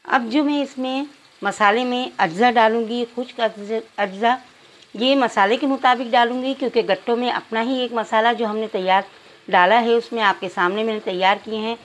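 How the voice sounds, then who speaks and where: A woman's voice: a little high, fairly even intonation, moderate pace. A woman narrating cooking instructions step by step, addressing viewers directly as a home cook. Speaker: home cook presenting a recipe.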